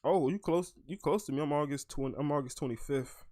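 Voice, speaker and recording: A young man in his twenties with a slightly high voice and a close, dry sound. He hosts a loose anime podcast, making his points emphatically in profane, slangy, casual talk. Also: brisk, dark tone, no echo. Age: 20s. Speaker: podcast host.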